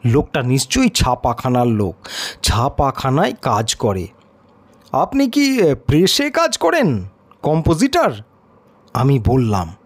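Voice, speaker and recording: A man's voice: medium pitch, huge pitch swings, takes it slowly, long pauses, no echo, full character voice. An actor playing a timid, bewildered male writer who narrates his own tale, hesitant and questioning. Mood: hesitant, wondering. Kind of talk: first-person audio story narration with acted dialogue.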